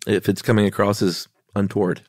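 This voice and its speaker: Lower-voiced male co-host with a stuffy cold. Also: flat delivery, a little fast, no echo, clean studio sound. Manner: easygoing, self-deprecating. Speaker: podcast co-host. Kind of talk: casual chat.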